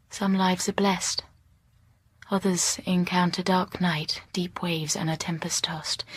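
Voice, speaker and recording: An actress playing a reserved, melancholy and intense young teacher in a period drama, speaking in formal, measured, hushed phrases. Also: female voice, on the low side, slightly rough. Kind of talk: period literary audio drama acting and narration.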